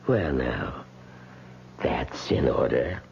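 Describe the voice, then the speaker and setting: An actor playing a shrewd dealmaker in a 1970s radio drama, speaking in a formal, precise and insistent way. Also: male voice, very deep voice, animated, very slow, gravelly, heavily acted. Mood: cool, insistent. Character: insistent, shrewd male dealmaker in an uncanny bargain. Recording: muffled, faintly noisy 1970s radio broadcast.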